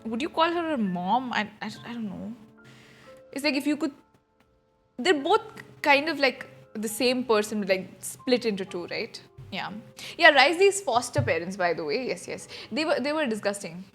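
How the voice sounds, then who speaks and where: A woman's voice: a little high, sing-song, normal speed, a bit bright, faint music behind. A young adult woman streaming a game, giving casual, rambling live reaction commentary. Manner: rambling, conversational.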